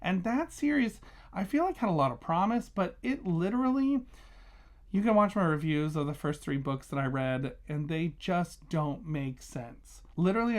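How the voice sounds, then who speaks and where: A man hosting a casual book review vlog, talking conversationally and emphatically, stressing and repeating key words. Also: a little high.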